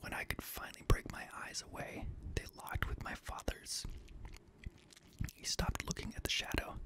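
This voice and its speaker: Young man whispering ASMR horror narration. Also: normal speed. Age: young adult. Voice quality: breathy whisper. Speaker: host and reader of scary stories. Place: small indoor home studio room. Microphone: close boom-arm studio microphone.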